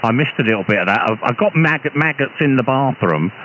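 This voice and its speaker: A British man, an amateur radio operator, chatting casually over a shortwave radio link in a halting, hesitant way with repeated false starts. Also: medium pitch, animated. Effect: radio transmission. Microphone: radio transceiver, received over shortwave. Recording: muffled, noisy shortwave ham radio signal.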